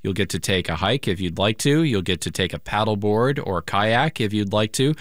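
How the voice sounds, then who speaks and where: A lowish male voice, friendly and conversational, hosting a classical station pledge drive. The sound is close and dry. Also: normal speed, no echo. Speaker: radio host. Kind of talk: public radio pledge drive. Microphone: studio microphone.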